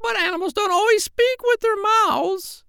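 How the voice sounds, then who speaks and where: A man voicing a bossy, clever female parrot in a shrill, high voice for a children's storybook. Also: moderate pace, vocal fry, sharp tone, no echo, studio quality, full character voice. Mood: instructive. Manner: emphatic, instructing.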